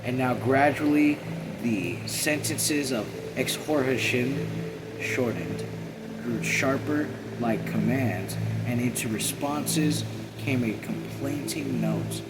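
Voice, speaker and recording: Halting male reader over loud noise. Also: mid-range voice. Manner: halting, rereads and corrects himself. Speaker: amateur reader. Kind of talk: novel read-aloud.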